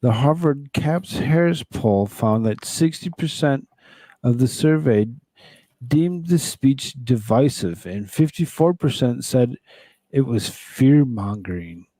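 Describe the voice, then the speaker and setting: Mellow male political show host, dry sound. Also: medium pitch, expressive, takes it slowly, no room sound, rough recording. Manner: rambling, conversational. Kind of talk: casual news commentary, reading articles aloud and reacting.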